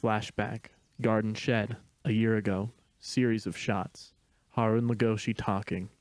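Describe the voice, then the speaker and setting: A man delivers scripted narration of stage directions. His voice is fairly low and stays very even. He sounds matter-of-fact, in a dry recording with no room sound. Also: measured pace, dry recording.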